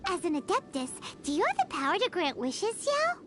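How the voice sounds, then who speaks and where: A woman voicing a tiny, chirpy female fairy companion in a video game, with a squeaky, childlike, excitable delivery. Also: fairly quick, exaggerated voice. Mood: eager. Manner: excitable, refers to self in third person. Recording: game music behind the voice.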